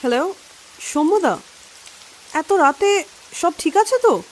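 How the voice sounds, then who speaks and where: High-voiced, worried young daughter. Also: female voice, lively intonation, slow pace, a little hiss or hum, heavily acted, poor audio. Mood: alarmed. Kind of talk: audio drama acting.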